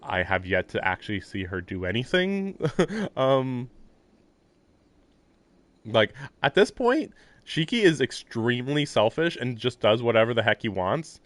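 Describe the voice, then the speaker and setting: A man's voice: medium pitch, big pitch swings, a little slow, fairly bright tone, no echo. A man livestreaming a visual novel, reading the narration aloud and adding rambling, amused casual commentary. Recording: game music playing behind. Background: video game music.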